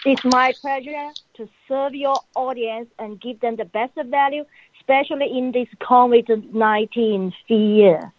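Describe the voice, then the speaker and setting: A woman, a guest on the show, answering in halting speech with many fillers and grammar. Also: a little high. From China. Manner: halting, frequent uh fillers, non-native grammar. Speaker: guest, PhD in psychology. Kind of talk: phone-in interview answers. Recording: tinny phone line, dry.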